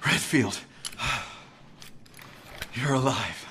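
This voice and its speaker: An actor playing a tough, defiant survivor in a video game, blunt and streetwise. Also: male voice, mid-range voice, animated, drawn-out words, pushed and bright, a little hiss or hum, full character voice. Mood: matter-of-fact. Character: tough, foul-mouthed, defiant male survivor and pilot.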